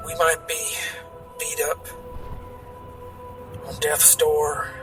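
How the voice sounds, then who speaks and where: A man playing a weary, battered, grimly pragmatic survivor in tabletop roleplay, speaking haltingly in a strained voice. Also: fairly high pitch, level tone, distant mic, over music. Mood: grave, strained. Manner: halting, hesitant, repeating words.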